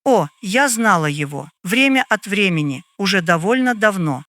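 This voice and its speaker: A man voicing a gaunt, stern middle-aged landlady in an audio drama, guarded and measured in her speech. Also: high voice, expressive, bright, forceful tone, dry recording, clean studio sound, voice pushed up. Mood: evasive.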